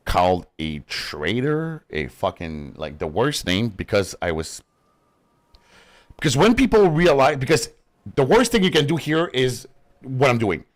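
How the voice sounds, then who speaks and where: A man's voice: fairly low pitch, sing-song, moderate pace. A young man from Quebec whose first language is French, speaking English with a Quebec French accent in a rambling, profane, laughing casual chat while he plays a game. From Quebec, Canada. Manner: rambling, swears a lot, laughs often. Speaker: gaming streamer.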